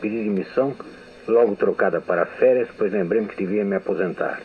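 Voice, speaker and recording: Older male radio veteran, measured reflective delivery; thin phone-like archival sound. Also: fairly low pitch. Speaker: radio writer giving a testimony. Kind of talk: memoir reading. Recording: tinny lo-fi archival audio with hiss.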